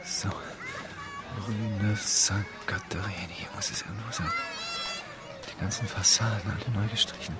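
A man's voice: lowish voice, fairly even intonation, normal speed, loud music behind the voice, a loud crowd, close to an everyday voice. An actor playing a weary, broken homeless man in a radio drama, intimate, tender and bitter. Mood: searching, agitated. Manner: hushed, intimate, world-weary.

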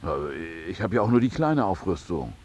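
A man's voice: on the low side, big pitch swings, fairly quick, darkish tone, husky, muffled recording. An actor playing an irritable, boozy, suspicious man in a German radio play, speaking bluntly and impatiently in everyday colloquial language. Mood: stunned.

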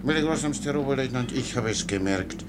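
An actor playing a guileless, chatty, foolish soldier orderly in a German radio play, rambling deferentially. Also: male voice, medium pitch, animated, a little fast, lightly acted. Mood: chatty, unruffled. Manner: rambling, naively unruffled, deferential. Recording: clear background noise.

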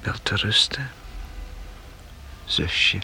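A man's voice: extremely slow, pushed and bright, whispered, exaggerated voice. A man playing an earnest, modest young man in a radio play, speaking hesitantly and informally. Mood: shy, earnest.

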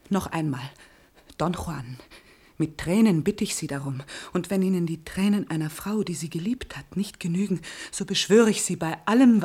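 An actress playing a penitent noblewoman in a classic radio drama, imploring her former lover in formal, impassioned, breathy speech. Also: medium pitch, darkish tone. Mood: pleading.

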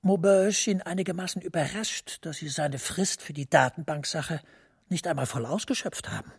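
A man's voice: on the high side, normal speed, gravelly, breathy edge, heavily acted. An actor playing a formal, guarded male professor and senior official in a radio drama. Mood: guarded approval.

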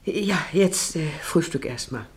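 An actress in a German radio drama playing an anxious, placating middle-aged farmer's wife, hesitant and soothing in manner. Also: female voice, sits low, lively intonation, measured pace, slight background noise. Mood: worried, hesitant.

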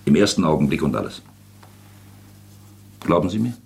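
An actor playing an older gentleman investigator in a radio drama, grave, patient and probing, with measured, insistent speech and a gravelly voice. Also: extremely low pitch, sing-song, full character voice. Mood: confiding. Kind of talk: German radio drama acting.

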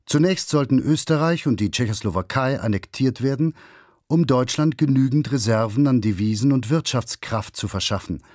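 A man's voice: medium pitch, darkish tone, no echo, clean studio sound. A man reading a scripted historical documentary as its narrator.

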